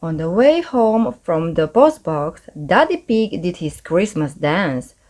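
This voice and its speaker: A woman's voice: on the low side, big pitch swings, takes it slowly, darkish tone. A woman reading a children's story aloud as narrator, expressively and with careful pacing.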